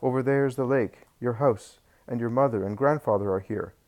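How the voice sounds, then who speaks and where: Gentle male teacher, as a man reads him. He speaks in a calm, measured way at a steady middle pitch. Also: natural voice.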